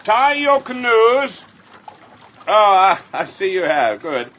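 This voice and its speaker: A scheming middle-aged yacht owner plays the hearty host. His voice is bright and swoops widely in this radio drama. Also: high-pitched, very animated, slow pace, slight background noise, exaggerated voice. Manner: hearty host turning cunning.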